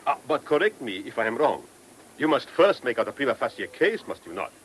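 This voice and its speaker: An old, slightly noisy radio drama: a genial Viennese police commissioner with an Austrian German accent. He is talkative and courtly, with very animated intonation and formal foreign turns of phrase. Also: medium pitch, fairly quick. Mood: courteous, probing. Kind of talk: radio play acting.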